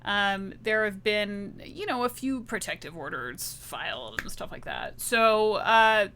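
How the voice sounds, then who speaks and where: A woman's voice: mid-range voice, animated, a little slow, fairly bright tone, a little hiss or hum. A woman playing a tabletop roleplaying game, explaining her character conversationally, thinking aloud with some hesitation.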